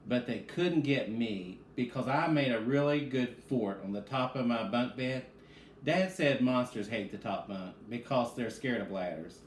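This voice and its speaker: A man's voice: medium pitch, a little slow. A man who is a reading teacher, speaking to children in a read-aloud lesson. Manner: addresses children directly, asks and answers own questions. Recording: echoey room with faint background noise.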